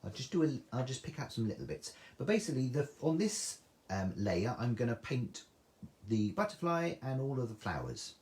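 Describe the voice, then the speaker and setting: A man's voice: mid-range voice, very animated, normal speed, darkish tone, slight background noise. A man with a soothing voice, chatting through a live craft tutorial and reading out viewer comments. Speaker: craft demonstrator.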